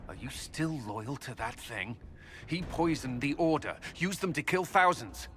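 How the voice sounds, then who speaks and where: An actor playing an earnest, soft-spoken military commander with a halting manner, in dramatic video game dialogue. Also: male voice, mid-range voice, expressive, faint music behind. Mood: stern, angry. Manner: hesitant and halting in intimate talk.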